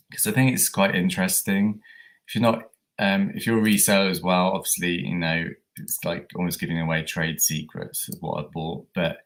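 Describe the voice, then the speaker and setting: A man with a croaky voice from a coming cold, chatting casually with many fillers as he hosts a reselling livestream. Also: low voice. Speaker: eBay reseller livestream host.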